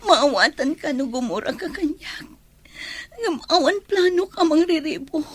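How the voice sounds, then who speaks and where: A woman plays an apologetic young friend, earnest and pleading, in Filipino radio drama. Her pitch is extremely high, and she has a Filipino accent. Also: expressive, moderate pace, slight background noise.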